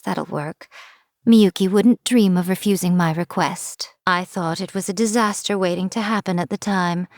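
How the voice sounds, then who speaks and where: Actress playing a teasing fox-spirit shrine priestess, game character, wry and playful, mid-pitched, dry booth sound. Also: female voice, a little mellow, no echo, lightly acted. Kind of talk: scripted game voice lines.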